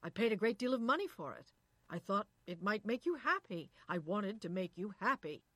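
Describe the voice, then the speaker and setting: A woman's voice: low-pitched, normal speed, a bit bright, husky, dry recording, full character voice. A woman voicing a weary, exasperated husband in a story reading, firm and remonstrating. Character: weary, exasperated husband, reasonable but resentful.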